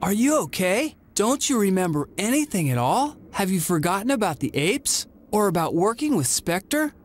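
Lively, earnest young boy hero. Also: medium pitch, normal speed. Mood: concerned, relieved. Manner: earnest, emphatic. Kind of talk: cartoonish video game voice acting.

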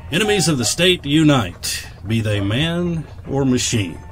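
A man's voice: mid-range voice, very animated, a little slow, a little hiss or hum. A man playing a sardonic military lieutenant in an audio drama, wry and dramatic.

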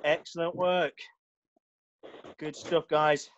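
A man's voice: fairly high pitch, flat delivery, slow, highs cut off, a little hiss or hum. A man coaching an online karate class from a small brick-walled gym, calling out drills and cheering students on as he trains with them. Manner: barking encouragement and commands while training. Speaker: karate instructor.

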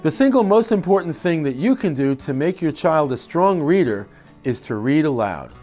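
A middle-aged man presenting instructional tips to parents in an expressive, emphatic, clearly phrased way. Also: a little high, normal speed, soft background music. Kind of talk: instructional narration to parents, with expressive read-aloud demonstrations. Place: indoor classroom. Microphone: lapel mic.

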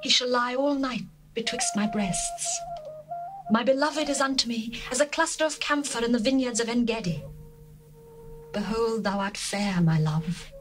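A woman voicing a lovesick young bride longing for her beloved, in a dramatized scripture reading, tender and lyrical with hushed passages. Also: fairly high pitch, normal speed, lightly acted. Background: faint music.

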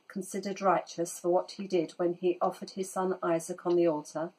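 A woman reads scripture aloud at home, steady and deliberate. Her voice is on the low side with a soft, breathy edge. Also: low-quality recording. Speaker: scripture reader. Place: indoors at home, reading from a Bible.

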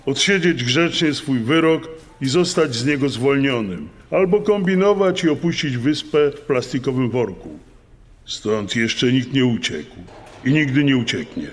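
A man's voice: mid-range voice, expressive, natural voice. Stern prison warden acted by a man, reverberant. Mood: menacing warning. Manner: threatening, deliberate. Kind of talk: dramatized true-crime podcast. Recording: long reverb, music underneath.

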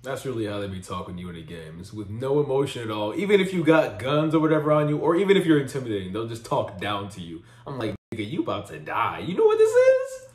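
A man's voice: medium pitch, huge pitch swings, fast talker, hardly any pauses, echoey room. A man hosting a reaction channel, giving slangy, casual, conversational commentary. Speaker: host of a reaction video channel.

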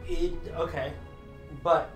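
A man's voice: a little high, slow pace, soft background music. A man in his thirties whose first language is Italian, arguing in a casual debate with lots of restarts. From grew up overseas, Italian at home. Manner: animated, gestures a lot, many restarts. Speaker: debater for the Harry Potter side. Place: small indoor room full of movie memorabilia. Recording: echoey room.

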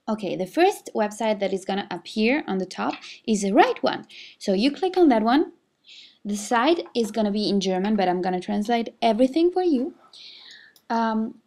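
A young singer-songwriter who grew up speaking Italian talks casually into her webcam in a room at home. Her voice sits at a middle pitch for a woman. She explains things step by step and fills pauses with plenty of ums and uhs. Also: mellow. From Switzerland. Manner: chatty, explaining step by step with many fillers. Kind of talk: casual vlog with screen walkthrough. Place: indoor room, webcam video.

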